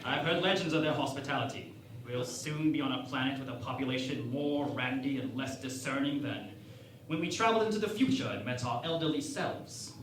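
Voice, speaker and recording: An actor playing a pompous, lecherous starship captain in a parody radio play, with a theatrical, grandiose delivery. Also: male voice, medium pitch, a little fast, fairly bright tone, far from the mic, close to an everyday voice. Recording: echoey room, off-mic, background noise.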